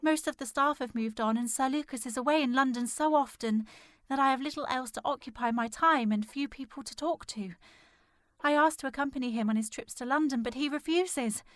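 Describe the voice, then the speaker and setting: A woman playing a distressed, determined young gentlewoman in a period audio drama, speaking formally and earnestly. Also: sits high, brisk, no echo, studio quality, close to an everyday voice. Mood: sad, hushed reading. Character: distressed, determined young gentlewoman searching for her missing sister.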